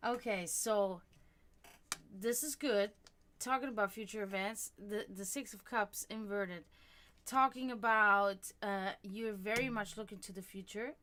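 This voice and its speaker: A woman born and raised in Germany, with German as her first language, chatting warmly as she reads tarot cards for viewers on a live stream. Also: mid-range voice, a little slow, slight background noise. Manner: chatty, animated, laughs often, talks to chat.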